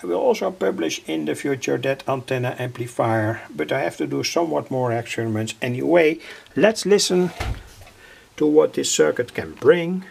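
An older man with a husky, gravelly voice, giving an unscripted workbench demonstration in halting, hesitant phrases. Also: fairly high pitch. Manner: halting, hesitant phrasing with repeated filler words. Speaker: radio hobbyist presenter. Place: indoor home electronics workbench.

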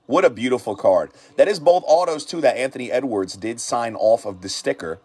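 A man runs a card-reveal stream from his shop desk, his voice sitting at an ordinary middle pitch. His excitement keeps building as he repeats his praise over and over. He sounds like a regular host talking to his viewers. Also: fairly quick, slightly rough. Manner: hyped, repeats himself.

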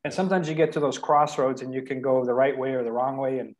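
A man's voice: medium pitch, steady tone, quick pace. A man from Spain in his forties, a podcast guest telling his life story on a video call with headphones. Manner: laughs often, self-deprecating. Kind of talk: casual storytelling. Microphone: headset on video call.

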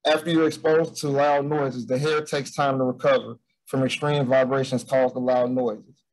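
A man's voice: mid-range voice, flat delivery, normal speed, poor audio. A man giving a loose, hesitant student project presentation over a video call, with frequent restarts. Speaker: bootcamp student presenting a capstone.

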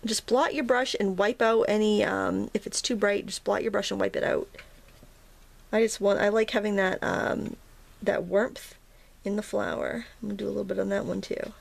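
A woman talks through a watercolor lesson while she paints at a table, her voice a little hoarse and gravelly with vocal fry. She chats casually, restarting sentences and filling gaps with ums as she works. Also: mid-range voice, fairly even intonation, normal speed. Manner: chatty, self-interrupting, frequent ums and restarts. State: slightly hoarse, morning froggy throat. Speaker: watercolor teacher. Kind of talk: casual painting tutorial narration.

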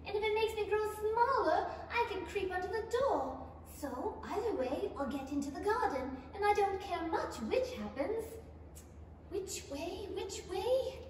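A woman's voice: extremely high pitch, lively intonation, measured pace. A woman playing a polite, bewildered young girl in a 1930s-style radio play. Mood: puzzled. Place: theatre stage, no live audience. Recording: distant, echoey hall with loud noise.

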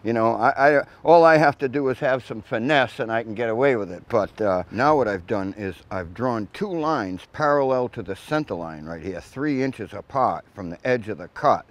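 A man's voice: mid-range voice, expressive, normal speed, few pauses. An older man, a shipwright, explaining chainsaw work step by step outdoors in a plainspoken, practical way, recorded on a lapel mic. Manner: plainspoken, practical, folksy asides. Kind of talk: hands-on instructional explanation. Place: outdoors in a grassy yard by a mill.

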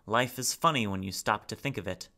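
A man's voice: on the low side, brisk, gravelly, pushed and bright. A man reading a rueful, sidelined father. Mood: wry.

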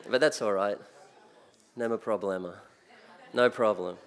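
A man's voice: on the low side, unhurried, vocal fry, a faint crowd. Older Australian man preaching conversationally. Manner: conversational, many restarts and fillers. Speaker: guest preacher. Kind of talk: sermon. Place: church sanctuary, speaking at a pulpit. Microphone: pulpit mic.

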